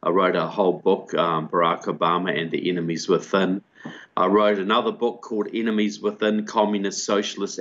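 Man, older, New Zealand accent, low voice, steady explaining, lo-fi call audio. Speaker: guest, author and filmmaker. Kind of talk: video call interview. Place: home room indoors. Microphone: video call with earbud mic.

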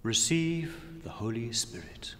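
Slow-speaking man in an echoing hall. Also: medium pitch, very animated, sharp tone, slight background noise. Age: middle-aged. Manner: solemn, measured, with long pauses between phrases. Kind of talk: reflective sermon and prayer. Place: large dim indoor room. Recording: long echoing reverb of a hall.

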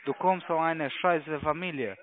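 A man's voice: on the high side, moderate pace. Male scam caller, crude and taunting, tinny telephone sound. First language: not German. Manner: crude, taunting, broken phrasing. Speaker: scam call centre caller. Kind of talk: confrontational phone argument. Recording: muffled, narrow phone line.

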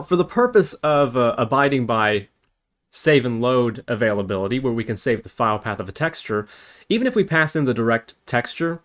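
A man's voice: medium pitch, a bit bright, slight background noise. A man narrating a step-by-step programming screencast as an instructor, explaining and thinking aloud as he works.

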